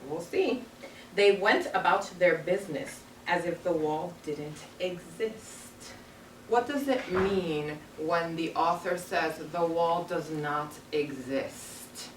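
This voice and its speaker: A woman's voice: fairly low pitch, slow. A woman teacher leading a read-aloud lesson for young children, speaking in simple, instructive phrases. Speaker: elementary school teacher. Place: carpeted classroom full of children. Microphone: far camera across the room. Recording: roomy, distant classroom camera with background noise.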